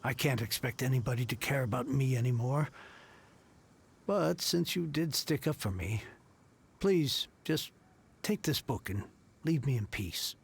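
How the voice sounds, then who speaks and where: An actor playing a bitter, despairing bookish merchant in a video game, theatrical and world-weary. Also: male voice, medium pitch, expressive, a little slow, vocal fry, pauses often, slightly rough, exaggerated voice. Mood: despondent. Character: bitter, despairing male bookworm merchant fallen on hard times. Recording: faint music under the voice.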